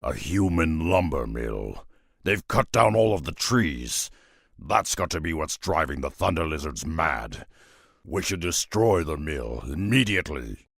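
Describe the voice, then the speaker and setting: A grim game warrior with an extremely low voice full of gravel. He is gruff and solemn, husky at the edges, and recorded very dry. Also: sing-song, a little slow, fairly bright tone, no echo, full character voice. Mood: indignant. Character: gruff, grave male wandering warrior who loves beasts. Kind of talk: video game voice acting.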